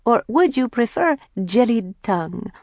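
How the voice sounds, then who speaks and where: Woman putting on an affected French hostess, high-pitched. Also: big pitch swings, unhurried, a little mellow, no room sound, studio quality, full character voice. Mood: grandly courteous. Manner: affected, formal. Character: teenage girl posing as grand French restaurant hostess.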